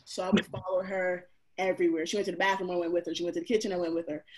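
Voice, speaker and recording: A woman in her thirties from Barbados, a chef guest telling stories in a casual, animated way with many fillers. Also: on the low side, racing. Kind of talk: casual interview chat.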